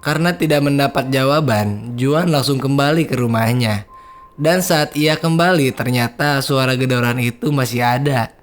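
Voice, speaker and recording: Hoarse man recapping horror film. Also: medium pitch, moderate pace, soft background music. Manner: slangy, chatty, addresses viewers as guys. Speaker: film review host.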